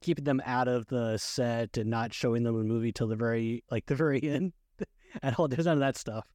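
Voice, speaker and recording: A man's voice: medium pitch, a little fast, darkish tone, no room sound, studio quality. A man co-hosting a casual chat about films, often chuckling as he talks. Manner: chuckles often while talking. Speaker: podcast co-host.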